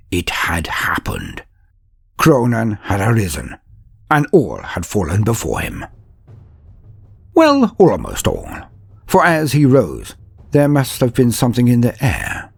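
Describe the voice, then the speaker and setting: A man's voice: medium pitch, creaky, darkish tone, breathy edge, no room sound. A man narrating a comedic fantasy war story in a dramatic, mock-epic way with wry asides. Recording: dry close recording with faint music.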